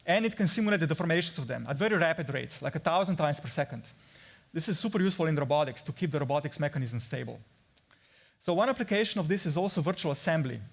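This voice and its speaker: A man with a Slovenian accent presenting his research in an explanatory way, as an academic at a conference. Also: a little high. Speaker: assistant professor presenting research.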